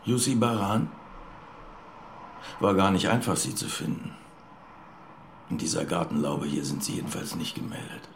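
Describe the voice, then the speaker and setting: An actor playing a dry, wry middle-aged police detective in a radio drama, laconic, ironic and formal. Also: male voice, low-pitched, expressive, normal speed, creaky, pauses often, background noise. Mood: dry, probing.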